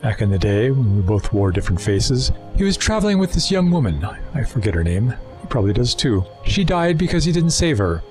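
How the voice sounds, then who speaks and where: A man's voice: mid-range voice, big pitch swings, fairly quick, darkish tone, faint music behind, lightly acted. Wry, mocking actor as a male alien schemer. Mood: mocking, chatty. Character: sly, talkative male alien time traveller, the Doctor's rival. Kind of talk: full-cast audio drama acting.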